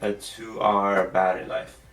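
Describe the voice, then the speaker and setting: Guy with a heavy persian accent doing a gadget review, kinda low voice, keeps restarting, echoey room, mic a bit far. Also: flat delivery, a bit bright. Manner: halting, repeats words and restarts often. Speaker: tech reviewer. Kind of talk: casual product review. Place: room at a desk, indoors. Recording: roomy, distant mic with faint music.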